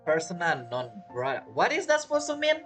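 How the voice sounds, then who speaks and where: Low-pitched, lively woman streaming a game. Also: brisk. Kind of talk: casual game commentary and reactions. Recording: game music behind the voice.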